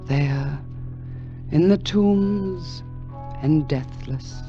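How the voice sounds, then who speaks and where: Slow, majestic immortal sorceress queen. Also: deep voice. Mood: solemn, elegiac. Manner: grand, slow, solemn declamation. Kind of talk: 1940s radio drama acting. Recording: dull old 1940s radio recording with music.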